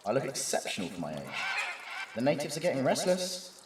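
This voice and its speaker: A man's voice: mid-range voice, sing-song, brisk, noisy background, close to an everyday voice. A man playing an arrogant, greedy villain in an audio drama, sneering and boastful. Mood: smug, menacing. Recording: roomy, distant, with music behind.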